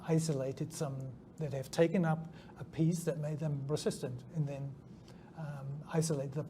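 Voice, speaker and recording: A man's voice: fairly high pitch, level tone, normal speed, warm tone. German man with a Kiwi accent. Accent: New Zealand. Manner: hesitant, many ums and restarts. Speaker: scientist guest. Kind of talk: interview answers. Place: conference venue. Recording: faint background noise at a conference.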